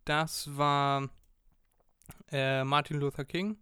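A man's voice: on the high side, steady tone, slow pace, sharp tone, clean tone, no room sound, clean studio sound. A man co-hosting a casual podcast, explaining things and joking while guessing quiz answers. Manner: joking, plays along, guesses. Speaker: podcast co-host.

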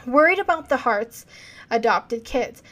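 Young adult woman, podcast co-host, earnest and questioning, reads quotes aloud, slightly high voice. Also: lively intonation, a little slow, fairly bright tone. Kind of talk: casual true-crime podcast chat, reading from reports.

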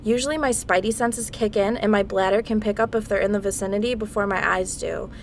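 A woman's voice: mid-range voice, fairly even intonation, slight background noise, lightly acted. A woman playing an irritable, skeptical adventurer heroine in a comedic video game parody, exasperated and sarcastic. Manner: exasperated, drawn-out sarcastic complaining.

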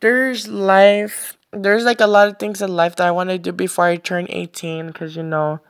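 A man's voice: high-pitched, level tone, moderate pace, fairly bright tone. A sixteen-year-old boy hosting his own podcast, talking alone in a loose, rambling way full of "like, you know.". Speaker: podcast host. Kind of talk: casual solo podcast monologue.

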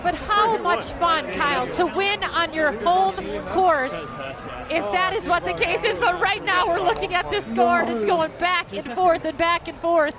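A woman commentating a race live, speaking in an excited, exclamatory way. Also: extremely high pitch, unhurried. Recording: broadcast clip over crowd, off mic.

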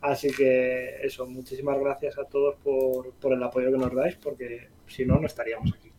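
A Spanish man co-hosting a casual livestream podcast, excitable and eager to thank viewers. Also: mid-range voice, moderate pace, noisy background. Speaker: podcast co-host.

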